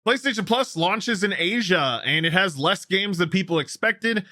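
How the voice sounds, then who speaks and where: A man's voice: sits high, animated, normal speed, pushed and bright, no echo, clean studio sound. A man hosting a gaming news show, commenting casually and enthusiastically on stories with a co-host. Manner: enthusiastic, opinionated, conversational.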